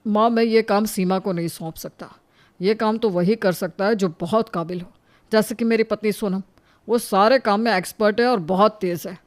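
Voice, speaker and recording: A woman's voice: mid-range voice, normal speed, close to an everyday voice. A woman voicing an earnest, persuasive husband, the elder son in a family moral cartoon. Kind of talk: Hindi moral cartoon story acting.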